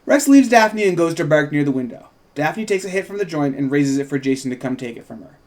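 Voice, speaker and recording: A man's voice: fairly high pitch, lively intonation, fairly quick, darkish tone. A man doing a casual script read-through, narrating and adding informal asides as he thinks aloud. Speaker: co-writer reading a script at a table read.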